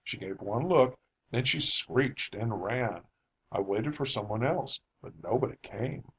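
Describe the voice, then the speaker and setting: A man's voice: mid-range voice, a little slow, slightly rough, highs cut off, full character voice. A man voicing a rattled son who tries to sound casual and unconcerned, in a dramatic audiobook reading. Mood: uneasy, feigned calm. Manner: shaky, casual, trying to sound calm. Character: shaken son feigning unconcern.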